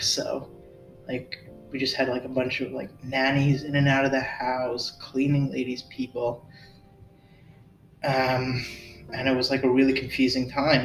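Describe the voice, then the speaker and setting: A man in his twenties, a podcast host, telling a personal story in a halting way full of fillers and restarts, then chatting casually. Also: medium pitch, distant mic. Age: 20s. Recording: roomy and off-mic with music behind.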